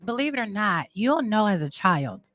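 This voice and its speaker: Female, thirties, talk show guest. Informal, animated storyteller, mid-range voice, dry recording. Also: mid-range voice, moderate pace, no room sound. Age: 30s. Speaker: talk show guest, marketing and branding entrepreneur. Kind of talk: casual interview chat.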